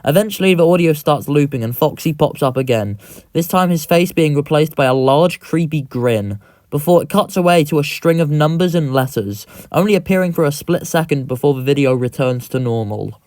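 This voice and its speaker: Guy narrating a horror video from a script, voice a bit on the high side, close dry mic. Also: brisk, a little mellow, dry recording.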